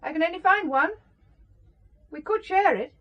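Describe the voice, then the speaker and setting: An actress playing a familiar, chatty secretary in a radio drama. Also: female voice, very high voice, normal speed, lo-fi sound. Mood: curious, teasing. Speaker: actor in a spy radio drama.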